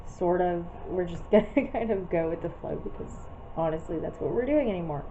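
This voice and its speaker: A woman's voice: on the low side, expressive, fairly quick, mellow. A woman in her thirties, fighting off a cold, chatting and rambling casually while she films her day at home and outside. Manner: chatty, rambling, laughs often. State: congested from a cold. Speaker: vlogger. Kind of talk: casual vlog chat. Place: at home, then outside by the front door. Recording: dull lo-fi camera audio with background noise.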